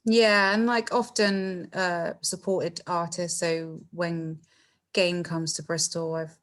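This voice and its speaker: A middle-aged woman from England, speaking in a fairly low voice. She chats loosely as a podcast guest, laughing easily and tacking on fillers and tag questions. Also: level tone, takes it slowly. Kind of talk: casual interview chat.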